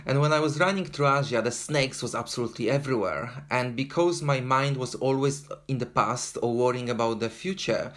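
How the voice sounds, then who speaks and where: A man with a Polish accent talks earnestly as an interview guest. His pitch sits in an ordinary middle range. He often pauses on fillers and restarts his sentences. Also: a bit bright. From Poland. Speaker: guest, endurance runner. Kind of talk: casual interview talk.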